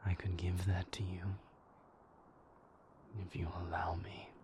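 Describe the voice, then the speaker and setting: A man playing a moody, tender vampire lover in an intimate audio roleplay, speaking breathily in formal, measured phrases. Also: sits low, monotone, darkish tone, slight background noise. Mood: weary, tender. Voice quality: breathy, whispery.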